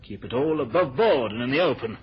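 An actor playing an indignant, self-important British politician in a 1970s radio drama, with a husky, insistent voice. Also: male voice, medium pitch, lively intonation, brisk. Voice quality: husky, rough-edged. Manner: insistent, querulous. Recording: dull old radio recording.

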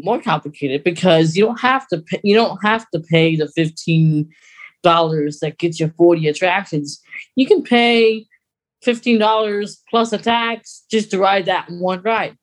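A podcast co-host chatting casually about fan news in a wry, conversational way. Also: medium pitch.

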